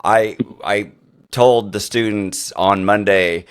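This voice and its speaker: A middle-aged man hosting a podcast interview, a former teacher who tells rambling, animated stories full of restarts. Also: on the low side, slow pace, smooth. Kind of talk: conversational interview.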